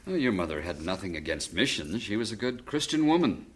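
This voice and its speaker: A man playing a fond, wry middle-aged father in a vintage radio drama, speaking gently and philosophically. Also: fairly low pitch, very animated, a little fast, slightly rough. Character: fond widowed father, stock investor.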